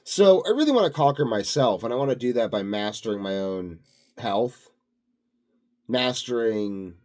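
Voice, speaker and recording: A man's voice: mid-range voice, lively intonation, moderate pace, darkish tone. A man delivering a rambling, unscripted vlog monologue as a self-styled expert, grandiose and self-assured, musing aloud. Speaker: self-styled health and sleep expert vlogger.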